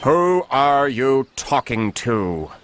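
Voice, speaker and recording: A man playing a haughty, measured, displeased king in a storybook. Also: medium pitch, sing-song. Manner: slow, measured.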